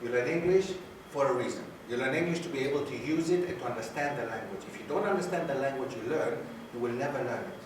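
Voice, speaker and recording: A man's voice: a little high, far from the mic. Guy lecturing students, keeps asking the room questions, big echoey hall, faint hiss. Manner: questions the audience, repeats key points for emphasis. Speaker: guest lecturer. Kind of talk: university society lecture.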